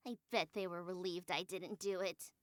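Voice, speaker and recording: A woman's voice: fairly low pitch, fairly even intonation, normal speed, natural voice. A woman voicing a resentful, defiant schoolgirl magical girl in a visual novel, bitter and blunt. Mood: bitter, hurt. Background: faint music.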